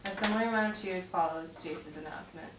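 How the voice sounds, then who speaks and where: A distant, roomy recording with clear noise carries a young woman's voice. She sits fairly low in pitch and reads script directions aloud as the narrator of a table read. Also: fast. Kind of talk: reading stage directions aloud. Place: home dining room around a table. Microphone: far camera mic. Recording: distant mic, roomy echo, noisy.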